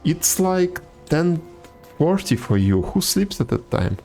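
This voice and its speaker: A man's voice: fairly high pitch, sing-song, slow, gravelly, darkish tone. A man chatting casually with viewers while livestreaming a game, laid-back and teasing. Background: game music.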